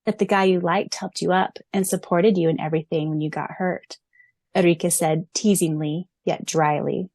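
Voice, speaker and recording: A woman's voice: lowish voice, steady tone, moderate pace, a little mellow, no room sound. A woman co-hosting a casual podcast, reading out questions and an author's answers and laughing often. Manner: giggly, reads answers aloud. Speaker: podcast co-host.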